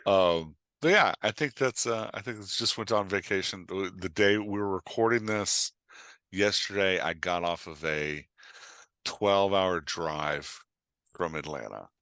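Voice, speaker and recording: Middle-aged male podcast guest, low voice with forward brightness, loose, jokey, rambling chat. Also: unhurried, no echo. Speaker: podcast guest, author and writing coach. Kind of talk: casual chat.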